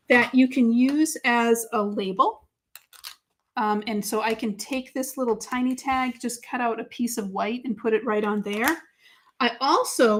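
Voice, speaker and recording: A woman's voice: fairly high pitch, a little hiss or hum. A woman with a Boston accent hosting a live crafting demo, chatty and gushing, talking to viewers by name. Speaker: craft show host.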